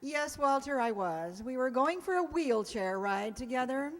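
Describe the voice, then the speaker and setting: A woman playing a wry, sarcastic high school teacher in old-time radio comedy style. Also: expressive, measured pace. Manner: dry, wisecracking. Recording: reverberant hall recording.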